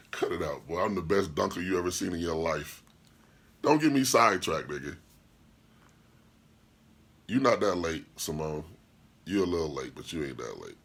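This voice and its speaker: A man with a very low, deep voice and a husky, slightly rough tone holds forth on his podcast. He talks bluntly and profanely, hammering his points with repeated rhetorical questions and stopping to answer comments from his live chat. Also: animated, normal speed, pauses often, a bit bright. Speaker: podcast host and DJ. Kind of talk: opinionated podcast monologue.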